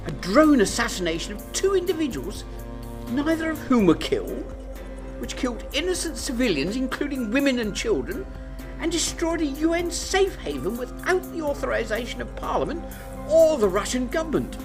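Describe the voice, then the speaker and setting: A woman playing a curt, animated female Prime Minister. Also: high voice, normal speed. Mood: appalled. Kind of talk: radio drama acting. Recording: music behind the voice.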